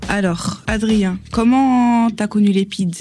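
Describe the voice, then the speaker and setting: A woman's voice: mid-range voice, slow, warm tone, faint music behind. An eighteen-year-old woman presenting a youth radio segment and asking her peers questions plainly.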